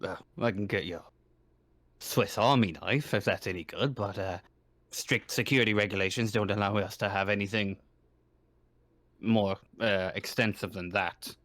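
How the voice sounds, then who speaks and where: A man's voice: lowish voice, normal speed, fairly bright tone, no room sound, natural voice. Man playing a plain-spoken tough frontier shopkeeper. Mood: matter-of-fact. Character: tough middle-aged male frontier shopkeeper, matter-of-fact.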